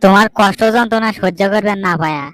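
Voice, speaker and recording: A woman's voice: medium pitch, fast talker, a bit bright, close to an everyday voice. A woman voicing a wronged, proud younger brother in an amateur cartoon, plaintive and declamatory. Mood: indignant.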